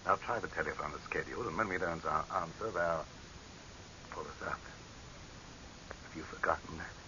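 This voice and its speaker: An actor playing a pompous, tyrannical dictator in 1930s radio horror drama style, haughty and imperious. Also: male voice, deep voice, moderate pace, close to an everyday voice. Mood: angry, commanding. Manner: imperious, haughty, barking orders. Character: pompous tyrannical male dictator, commanding, scornful, cracking into panic. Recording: hissy, dull 1930s radio recording.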